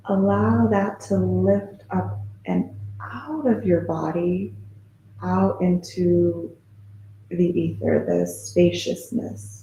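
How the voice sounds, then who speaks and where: Very slow, dark-voiced woman guiding meditation. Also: on the low side. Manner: soothing, gentle, unhurried with long pauses. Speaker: yoga teacher leading guided meditation. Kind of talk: guided meditation, yoga nidra instruction. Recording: roomy echo with faint background noise.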